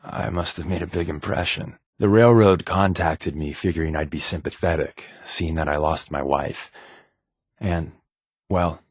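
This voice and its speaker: An actor playing a wry, guarded undercover resistance agent in a video game, conversational and confiding, with a slightly husky voice. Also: male voice, sits low, fairly even intonation, unhurried, no room sound, clean studio sound, heavily acted. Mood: somber, haunted. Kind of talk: naturalistic video game companion dialogue.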